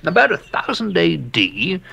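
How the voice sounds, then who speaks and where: An erudite captain in an audio horror drama, with a fairly high voice that swings widely in pitch. He is excitable and theatrical, pausing and savoring each grisly detail of old legends. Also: vocal fry, a little hiss or hum, exaggerated voice. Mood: erudite, intrigued. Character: excitable, erudite English captain relating dark local legends. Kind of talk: audio drama dialogue, storytelling.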